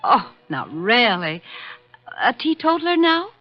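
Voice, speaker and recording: Coy society hostess, lilting pitch. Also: female voice, big pitch swings, measured pace. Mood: bright, sociable. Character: poised, sociable scheming wife hosting cocktail party. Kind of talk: 1940s-50s radio mystery acting. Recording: muffled old radio drama recording.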